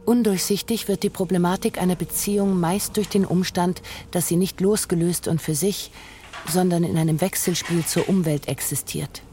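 A narrator in a radio drama, reading literary prose in a formal, measured, analytical way. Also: medium pitch, fairly even intonation, dark tone, a little hiss or hum, close to an everyday voice. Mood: detached, analytical. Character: detached, analytical narrator of a relationship's decline. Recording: faint music behind.

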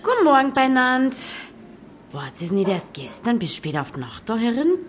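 A woman's voice: on the high side, very animated, normal speed, soft background music. A woman playing a stubborn, cheeky village innkeeper in an audio drama, speaking direct, bantering Bavarian dialect.

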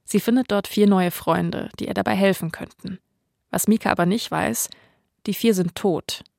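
A woman's voice: medium pitch, normal speed, creaky, darkish tone, dry recording, studio quality. A woman, hosting a podcast and narrating from a script. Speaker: podcast host.

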